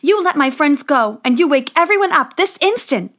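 A woman voicing a young princess in a sweet, eager, higher voice. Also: expressive, normal speed. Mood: commanding.

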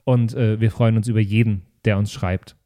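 A man's voice: lowish voice, flat delivery, moderate pace, mellow. A man, a podcast host talking casually and informally to listeners in a self-mocking way. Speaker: podcast host and player of an improvised tabletop role-playing show. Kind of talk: casual chatty podcast outro.

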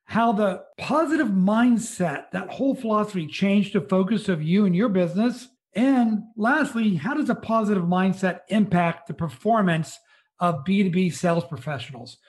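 High-voiced male podcast co-host. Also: moderate pace. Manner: structured, reads a prepared agenda. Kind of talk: scripted podcast intro and questions.

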